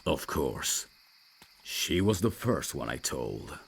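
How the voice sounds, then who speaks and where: A man playing a reserved, rational vampire messenger in an audio roleplay, dry and guarded, with a gravelly, deep voice. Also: big pitch swings, slow pace, full character voice. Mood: reserved, matter-of-fact. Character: reserved, rational male vampire messenger, over fifty years undead.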